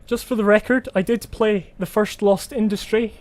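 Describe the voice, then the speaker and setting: A man's voice: high voice, fairly even intonation, normal speed, a little mellow, slight background noise. A man hosting a gameplay video, chatty and self-deprecating as he thinks aloud. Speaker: host. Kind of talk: gameplay commentary.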